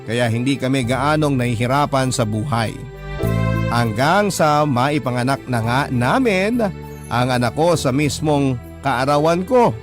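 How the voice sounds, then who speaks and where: A Filipino man tells a story for radio in a mid-high voice. He narrates dramatically in the first person, with music clearly playing underneath. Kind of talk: dramatic narration of a listener's letter.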